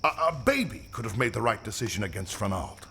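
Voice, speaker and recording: A man's voice: lowish voice, sing-song, fairly bright tone, slight background noise. An actor playing a weary, formal king and worried father in an audio drama, speaking in grave, stately phrases. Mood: troubled. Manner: formal, stately, measured archaic speech.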